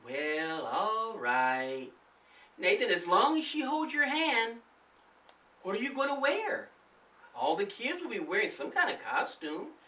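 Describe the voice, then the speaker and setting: A man's voice: sits high, sing-song, a little slow, pushed and bright, reverberant, recorded from a distance, pitched above the performer's own voice, exaggerated voice. A man voicing a fond but firm mother of young children in a storybook reading. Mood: gentle, nostalgic.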